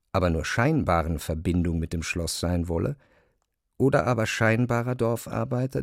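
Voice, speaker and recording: Male narrator for literary radio drama, lowish voice, very dry close studio recording. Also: creaky, no room sound.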